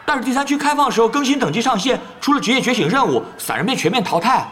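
High-pitched hyper chatterbox gamer. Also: male voice, expressive, fairly bright tone, faint music behind. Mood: rapid explaining. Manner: rapid-fire chattering. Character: hyper chatterbox young male star gamer. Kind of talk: audio drama acting.

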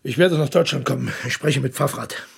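An actor in a German radio drama playing a vengeful, domineering, stocky old Nazi patriarch with a hoarse, hateful, commanding delivery. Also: male voice, mid-range voice, fairly quick, heavily acted.

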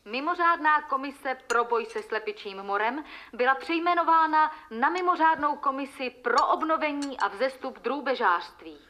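An actress playing an official radio announcer reading a state news bulletin in a formal, emphatic manner. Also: female voice, high voice, expressive, bright, forceful tone.

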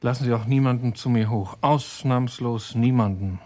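A man's voice: medium pitch, moderate pace, a crowd in the background, lightly acted. An actor playing a guarded, nervous young American man hiding crimes in a radio drama.

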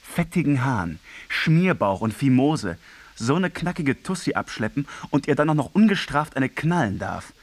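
An actor playing a cocky, crude young trainee teacher in a radio play, with slangy, brash speech. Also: male voice, medium pitch, sing-song, moderate pace, slight background noise. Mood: crude, indignant. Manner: slangy, brash, stammering when rattled. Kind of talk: German radio play acting.

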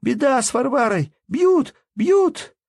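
A man's voice: very animated, normal speed, slightly rough, no room sound, clean studio sound, full character voice. A man putting on a breathless little peasant girl. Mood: alarmed. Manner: breathless, urgent, high.

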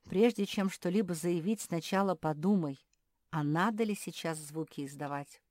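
A woman's voice: fairly low pitch, moderate pace, darkish tone, dry recording, clean studio sound, natural voice. A woman voicing a sensible male terrier in a children's story, questioning and didactic. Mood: reasoning, reproving. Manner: reasoning, didactic.

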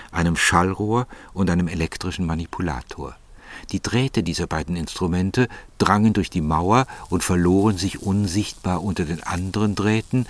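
A man's voice: sits low, no room sound. A man reading scripted literary narration in German as the narrator of a story.

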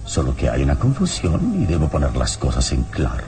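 An actor playing a calm, noble adventurer hero in an old radio serial, with a solemn, self-assured delivery. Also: male voice, extremely low pitch, fairly quick, full character voice. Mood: composed. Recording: radio serial with music bed.

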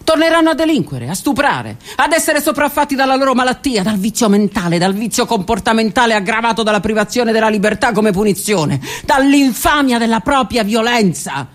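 A woman performing an introspective theatrical monologue for radio, delivered dramatically and at times dropping to a whisper. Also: high voice. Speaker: stage performer. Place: stage or studio performance.

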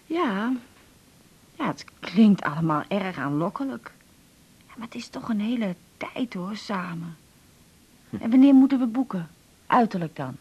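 A woman playing a cautious older woman in a Dutch radio drama, doubtful and questioning, with a husky, breathy voice. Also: mid-range voice, lively intonation, unhurried, long pauses, dark tone. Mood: wary. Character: hesitant, cautious older woman weighing a proposal.

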